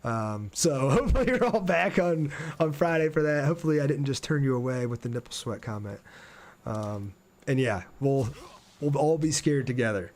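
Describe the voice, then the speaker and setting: A man's voice: medium pitch, lively intonation, moderate pace, darkish tone. A man chatting casually and informally on a game livestream, reading out viewer comments and joking at his own expense.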